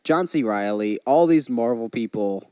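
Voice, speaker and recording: A man's voice: mid-range voice, lively intonation, moderate pace, warm tone, clean tone, no room sound. Casual male podcast host, close dry sound.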